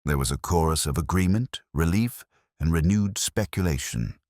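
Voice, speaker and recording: A man narrating a literary mystery story in a measured, formal way. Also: sits low, expressive, darkish tone, no room sound, studio quality.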